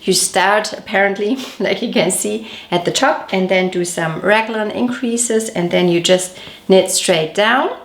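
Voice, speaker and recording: A woman hosting a knitting podcast, chatty and detailed, her voice a little off as she recovers from a cold. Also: medium pitch. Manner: chatty, detailed, gently self-correcting. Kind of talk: casual show-and-tell podcast. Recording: echoey room.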